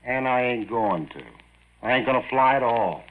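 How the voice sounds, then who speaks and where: A thin, telephone-like old radio sound carries a man playing a grumbling army sentry. He is skeptical and sardonic, tossing off slangy wisecracks with very lively intonation in 1950s radio drama style. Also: mid-range voice, normal speed, a little hiss or hum, lightly acted. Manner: colloquial, sardonic. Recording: tinny, band-limited old radio recording.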